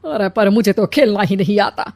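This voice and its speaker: A woman's voice: medium pitch, moderate pace, darkish tone, close to an everyday voice. Woman acting a bitter, scolding older mother-in-law. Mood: plotting. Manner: whining, scolding, dramatic. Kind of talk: Hindi cartoon story acting.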